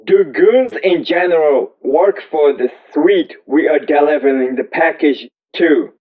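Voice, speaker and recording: A man reading a first-person story aloud as scripted narration, in slow, deliberate, measured phrases. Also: fairly high pitch. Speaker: audiobook reader. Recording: thin, phone-like narrow-band audio.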